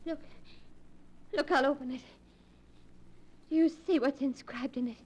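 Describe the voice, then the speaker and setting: An actress playing a desperate, frightened young heiress in 1940s radio melodrama, breathless, halting and urgent. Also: female voice, high-pitched, fairly even intonation, darkish tone, lo-fi sound. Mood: desperate pleading. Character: terrified, desperate young heiress pleading and narrating her ordeal. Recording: noisy 1940s radio broadcast.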